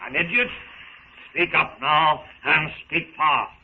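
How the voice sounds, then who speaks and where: An actor playing a rough gang boss in a 1930s radio serial, menacing and sneering with uneducated grammar. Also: male voice, fairly high pitch, fairly even intonation, takes it slowly. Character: rough, bullying male gang boss interrogating captives. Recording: narrow, noisy 1930s radio recording.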